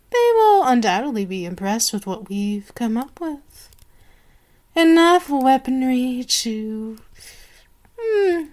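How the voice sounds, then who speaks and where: A woman voicing a haughty, commanding vampire queen in an ASMR roleplay, speaking with deliberate, condescending authority. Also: high voice, darkish tone. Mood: scheming, proud. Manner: slow, imperious, deliberate, condescending. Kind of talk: ASMR roleplay monologue to the listener.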